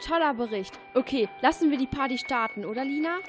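A woman's voice: high voice, expressive, quick pace, faint music behind. A young female actor playing a decisive, eager girl detective in an amateur radio play.

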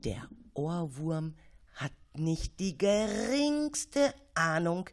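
Low, very animated woman storyteller. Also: extremely slow. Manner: suspenseful, drops into whispers. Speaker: narrator. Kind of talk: dramatic children's story narration. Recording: music behind the voice.

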